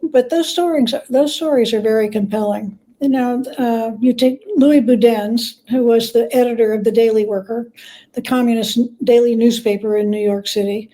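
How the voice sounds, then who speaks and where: Higher-voiced woman with a mellow tone. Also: steady tone, moderate pace, rough recording. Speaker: guest author, biographer. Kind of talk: conversational interview.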